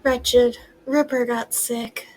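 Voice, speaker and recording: Woman playing a blustering, high-voiced aunt. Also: fairly even intonation, slow pace, darkish tone, low-quality recording. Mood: gruff, scornful. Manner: blustering, scolding, cooing at her pets. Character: large, overbearing, haughty middle-aged aunt who dotes on dogs. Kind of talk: audio drama acting.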